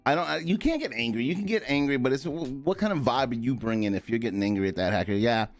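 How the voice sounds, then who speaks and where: A man hosting a casual interview chat, animated and slangy, laughing often. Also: medium pitch, quick pace, faint music behind. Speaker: podcast host.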